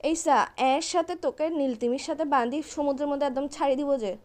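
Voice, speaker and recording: A woman's voice: high-pitched, fast talker, a little mellow, close to an everyday voice. A young woman with a Chittagonian Bangladeshi accent playing an angry, nagging girlfriend in a comic phone argument, scolding and threatening over and over.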